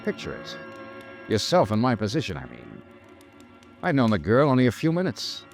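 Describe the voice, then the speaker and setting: An actor with an American accent playing a tough, dogged, wry insurance investigator in a 1950s radio detective drama. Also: male voice, mid-range voice, huge pitch swings, moderate pace, gravelly, music in the background. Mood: haunted.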